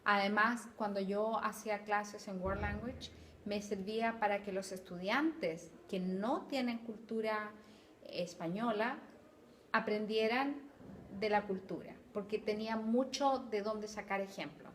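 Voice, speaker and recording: A woman's voice: mid-range voice, unhurried, a little hiss or hum. A middle-aged woman from Chile, a Spanish teacher, answering interview questions thoughtfully with hesitant repeats.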